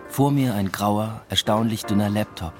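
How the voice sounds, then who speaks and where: A man acting a reflective academic in a radio play. His voice sits low and he speaks in a measured, matter-of-fact way, with faint music underneath. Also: natural voice. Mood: reflective, subdued. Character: reflective male academic narrating his everyday life. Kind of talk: first-person radio drama narration and dialogue.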